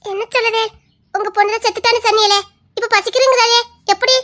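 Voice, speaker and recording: A performer playing a nervous young man who keeps questioning an old woman in a dark forest. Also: very high-pitched, steady tone, fast talker. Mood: uneasy. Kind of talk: horror skit acting.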